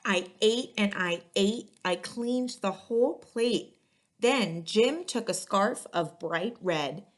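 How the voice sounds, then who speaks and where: A woman hosting a children's read-aloud, speaking in clearly phrased storytelling style. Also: medium pitch, takes it slowly. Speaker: museum educator and read-aloud host. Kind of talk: reading a children's picture book aloud.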